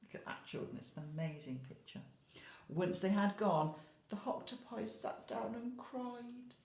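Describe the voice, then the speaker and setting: A woman reads a story to young children in the manner of an infant teacher. Her delivery is unhurried and very expressive, with big swings in pitch. She speaks straight to the children with questions and asides, and the room adds a noticeable echo. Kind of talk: animated picture-book storytelling to young children. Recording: roomy, echoey indoor sound.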